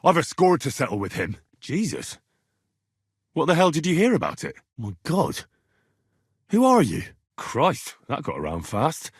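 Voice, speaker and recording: A man's voice: a little high, wildly swooping pitch, unhurried, pauses often, husky, no room sound, studio quality, exaggerated voice. A man voicing a young medieval man-at-arms in a video game, earnest, cheeky and plain-spoken, talking in a direct, inquisitive way. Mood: angry, alarmed. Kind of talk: video game dialogue acting.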